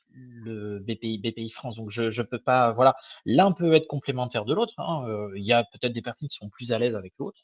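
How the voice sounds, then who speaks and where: Hesitant man, husky voice, lo-fi web call. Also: mid-range voice, dry recording. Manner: hesitant, many fillers and restarts, friendly and informal. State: slightly hoarse. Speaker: microfinance advisor presenting a webinar. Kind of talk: casual live webinar presentation. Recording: dull, lo-fi web call audio.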